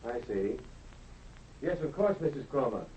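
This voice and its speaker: An actor playing a genial middle-aged small-town doctor and father in a 1930s radio drama, kindly and measured. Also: medium pitch, expressive, dark tone, lightly acted. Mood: businesslike. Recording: muffled, hissy 1930s radio recording.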